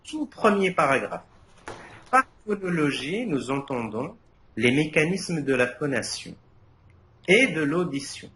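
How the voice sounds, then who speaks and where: An older man whose first language is Arabic gives careful academic feedback in a roomy, echoing space with faint noise behind him. He hesitates often, restarting his phrases and filling pauses. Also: fairly high pitch, big pitch swings, bright, forceful tone. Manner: hesitant, many fillers and restarts. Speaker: thesis jury member. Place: university defense room. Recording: echoey room, faint background noise.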